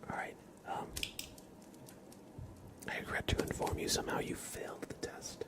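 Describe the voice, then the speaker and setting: A man hosting an ASMR livestream in a breathy whisper, speaking gently with playful mock-seriousness as he acts out little roleplays. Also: moderate pace. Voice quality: breathy whisper, mostly unvoiced.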